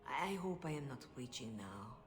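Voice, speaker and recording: A woman playing a grave, principled female cleric in a video game, speaking in a formal, measured, diplomatic way. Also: very deep voice, sing-song, over music. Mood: solemn. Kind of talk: dramatic video game dialogue.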